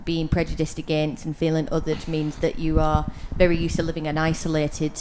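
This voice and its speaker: A woman's voice: low-pitched, completely flat delivery, background noise. A woman co-hosting a podcast in casual chat, teasing her co-host and asking probing questions. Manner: teasing, questioning, quick quips.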